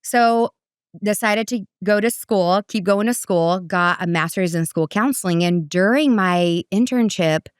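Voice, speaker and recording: Guest: Brazilian-born woman, middle-aged, smooth crisp voice, animated storyteller, dry close sound. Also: unhurried, no room sound. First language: Portuguese. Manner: animated, voice jumps high for quoted asides. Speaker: guest, parenting coach. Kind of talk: casual conversational storytelling.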